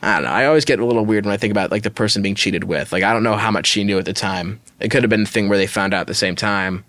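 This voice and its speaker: A man's voice: fairly low pitch, rapid-fire, a bit bright, no room sound. A man hosting a podcast, talking casually and giving opinions as he thinks aloud and qualifies his judgments. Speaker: podcast host. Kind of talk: casual opinionated discussion of online stories.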